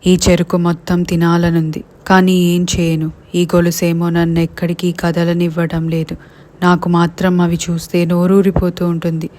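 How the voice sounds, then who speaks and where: Woman as a flat-toned chained grown elephant. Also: fairly low pitch, normal speed, lightly acted. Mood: wistful. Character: grown female elephant, chained, longing and helpless. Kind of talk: cartoon moral story voice acting.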